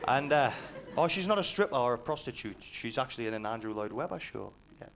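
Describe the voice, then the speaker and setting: A man from northeast England with a Geordie accent, doing a deadpan, punchy stand-up routine with dark jokes. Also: mid-range voice, quick pace, vocal fry. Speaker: stand-up comedian. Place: comedy club stage. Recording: live stage, crowd and noise.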